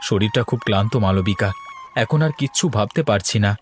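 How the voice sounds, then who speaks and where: A man's voice: on the low side, a little fast, soft background music, natural voice. A man playing a weary, anxious husband and father in a cartoon, with a pleading manner. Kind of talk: Bengali cartoon voice acting.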